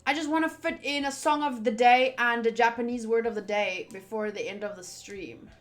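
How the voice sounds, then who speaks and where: A woman's voice: on the high side, moderate pace. A woman streamer chatting to viewers and reading game text aloud as live commentary. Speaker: streamer playing a visual novel. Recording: game music behind the voice.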